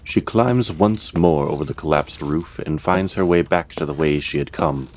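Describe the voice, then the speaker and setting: A man narrating a scripted fantasy audio drama as its storyteller, in a measured, theatrical way. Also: extremely low pitch, a little mellow, slight background noise. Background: music.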